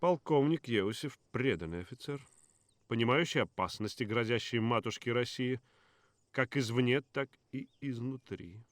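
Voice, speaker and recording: A man's voice: mid-range voice, normal speed, dry recording, natural voice. A man acting as an irate, domineering Soviet general in a video game cutscene. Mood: brooding, approving.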